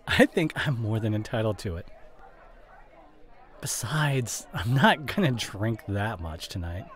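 A man acts out an evasive boyfriend who drinks, in an audio roleplay. His mid-pitched voice moves up and down a lot, and he talks slowly and hesitantly, on the defensive. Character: evasive drinking boyfriend, dismissive then guilt-stricken. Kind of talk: audio roleplay acting, one-sided conversation.

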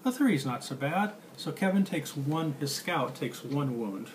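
A man's voice: a little high, expressive, normal speed. A middle-aged man explaining card game rules while playing, recorded across a table in a small room. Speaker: board game demonstrator and player. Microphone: handheld camera across the table. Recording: noisy amateur room recording.

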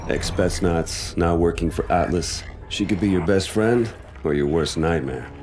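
Young soldier narrator: extremely low voice, terse, brooding, game cinematic with score. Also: male voice, measured pace, music in the background. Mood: grim, reflective.

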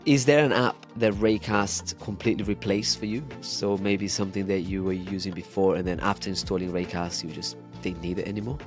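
A man in his thirties, from Brazil, hosts a casual interview in a fairly low voice. He speaks close to the microphone, with music in the background. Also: steady tone, a little fast, hardly any pauses. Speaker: host interviewer. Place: small indoor home studio. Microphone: close desk microphone.

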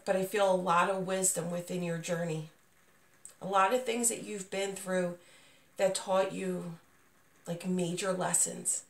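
A woman's voice: on the low side, fairly even intonation, a little slow, vocal fry. A woman giving a casual, unscripted tarot reading, reassuring and speaking straight to the listener, with a voice not at full strength as she gets over being sick. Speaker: tarot reader, channel host. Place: indoors, small dim room at a table. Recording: roomy echo, distant microphone.

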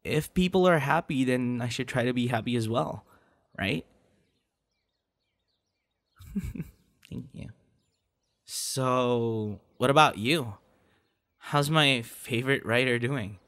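A young man playing a playful, affectionate boyfriend in an ASMR audio roleplay, with a teasing, encouraging, slightly halting delivery. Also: medium pitch. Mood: playful, tender. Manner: teasing, encouraging, halting with soft laughs and gasps. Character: playful, affectionate young male voice actor on a date.

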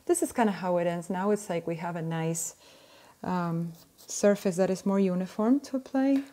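A woman in her thirties with an Argentine Spanish accent talks through a drawing tutorial from her home. Her voice sits a little low and sounds mellow and relaxed. She speaks in a chatty, gentle way, now and then pausing to search for a word. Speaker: art tutorial host. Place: indoor room at home.

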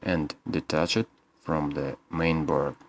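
A man with an extremely low voice narrates a scripted repair guide. He speaks slowly, in short step-by-step instructions. Some noise sits behind his voice. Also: steady tone. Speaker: repair technician.

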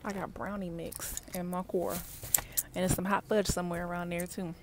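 A woman, chatting casually in a parked car for an ASMR video, often dropping to soft whispers, recorded on a wired earbud mic. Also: lowish voice, level tone, moderate pace. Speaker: ASMR vlogger. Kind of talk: ASMR gum-chewing car chat. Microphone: wired earbud mic held near mouth. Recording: faint background noise, mouth clicks.

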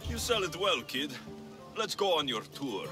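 A man's voice: on the high side, sing-song, moderate pace. An actor playing a dry, skeptical male mercenary hero with a sardonic wit, in a video game. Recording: game music underneath.

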